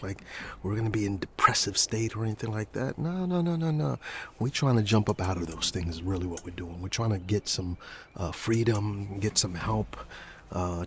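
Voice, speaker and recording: A man's voice: fairly low pitch, slight background noise. A man giving a rambling, motivational ASMR talk in an earnest, encouraging way, repeating phrases for emphasis. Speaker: ASMR video host.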